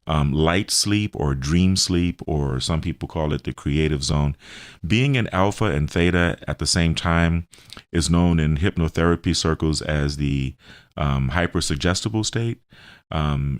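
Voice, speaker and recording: Very deep-voiced American man explaining, dry studio. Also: no room sound. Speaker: radio show host and health center owner. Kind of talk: explanatory radio health talk.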